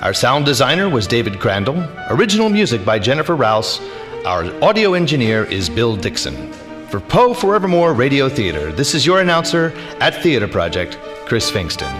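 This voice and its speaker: Announcer: man, theatrical, measured credits read, varied pitch, music underneath. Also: very animated. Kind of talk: formal scripted credits announcement. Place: live theatre stage.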